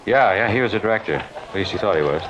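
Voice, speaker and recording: Casting: male actor as harried American movie-studio manager, talkative, low voice, quick delivery, old radio drama with muffled, noisy sound. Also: lively intonation, lightly acted. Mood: hurried, offhand. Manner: talkative, offhand. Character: harried, talkative male film production supervisor. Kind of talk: 1950s radio acting, conversational. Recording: dull, noisy vintage radio recording.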